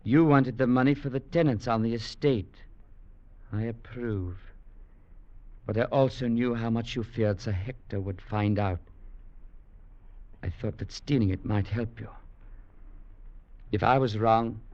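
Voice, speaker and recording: An actor playing a grave young English legionnaire, narrating in an earnest, measured, formal way in 1940s radio drama style. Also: male voice, mid-range voice, darkish tone, close to an everyday voice. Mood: subdued, solemn. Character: grave young English legionnaire recounting his brothers' tragedy. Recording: muffled 1940s radio recording with music.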